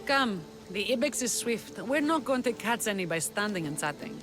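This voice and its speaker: Devout young rebel woman for a game, actress playing, playful yet sincere, swooping intonation, clear music underneath. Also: mid-range voice, lively intonation, moderate pace, natural voice. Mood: teasing. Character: Kira, devout, teasing young female Greek rebel leader. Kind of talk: video game voice acting. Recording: loud music behind the voice.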